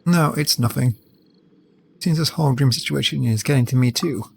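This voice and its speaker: A man's voice: medium pitch, moderate pace, vocal fry, husky. A man live streaming a game, reading its dialogue out and reacting to it.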